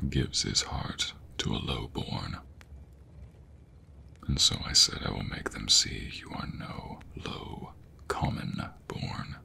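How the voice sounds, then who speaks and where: A man playing a devoted king in a romantic fantasy ASMR roleplay, speaking tenderly in a breathy, gravelly murmur with formal, courtly phrasing. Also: extremely low pitch, sharp tone, exaggerated voice. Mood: earnest, confiding. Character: devoted young king secretly in love with a commoner.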